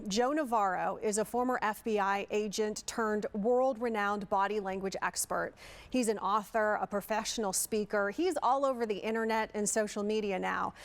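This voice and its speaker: A woman hosting an interview show, reading an introduction and then chatting in a friendly, talkative way. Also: medium pitch. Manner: friendly, chatty, laughs easily. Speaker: host. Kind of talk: scripted intro, then casual interview chat.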